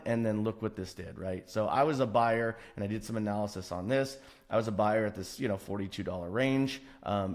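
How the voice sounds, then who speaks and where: A man presenting an unscripted trading chart walkthrough, stressing and repeating key words and often checking in with the listener. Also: fairly low pitch. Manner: emphatic, repeats intensifiers, checks in with "right?". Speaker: stock trading analyst presenting a chart breakdown.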